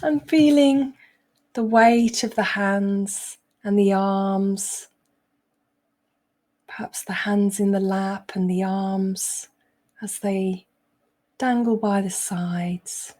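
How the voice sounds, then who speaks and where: A woman meditation coach with a relaxed, mellow tone and a middle-range pitch. She speaks slowly, pausing often between short phrases. Her guidance is gentle and instructional throughout. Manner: gentle, unhurried, instructional with frequent pauses. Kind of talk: guided meditation.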